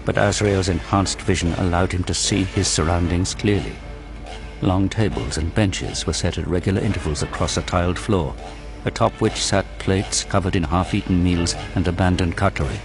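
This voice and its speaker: A man with a low voice narrates an audio drama in a dramatic, scripted style. Music plays clearly behind him. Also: normal speed.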